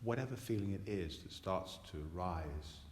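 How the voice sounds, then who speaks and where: A man leading a guided relaxation meditation as a teacher, soothing and hypnotic, repeating phrases between long pauses. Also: low-pitched, lively intonation, a little mellow. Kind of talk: guided relaxation meditation, spoken live to a group. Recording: echoey room with long reverb, faint noise.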